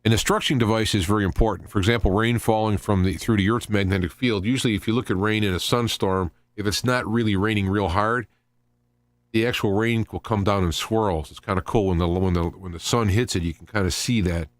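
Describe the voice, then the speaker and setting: A man hosting an informal live radio show, explaining things in a rambling, halting monologue with repeated word starts. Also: on the low side, fairly even intonation, dry recording. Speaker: radio host.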